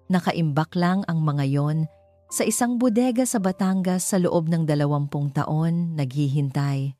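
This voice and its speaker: Woman doing a sad old accountant man, gentle n confiding, mellow, storybook with soft music. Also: low voice, a little slow. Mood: grave, revealing. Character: sad, loyal old male accountant and family friend.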